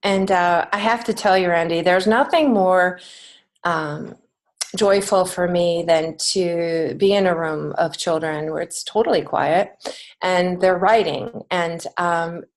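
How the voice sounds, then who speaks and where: A woman's voice: fairly low pitch, slow pace. A woman speaking as a podcast guest in a conversational interview, earnest, reflective and emphatic. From United States. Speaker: podcast guest, children's author and creative writing teacher.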